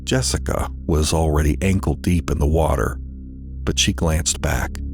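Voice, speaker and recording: A man narrating a scripted horror story in a deliberate, dramatic delivery with weighted pauses. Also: a bass voice, faint music behind.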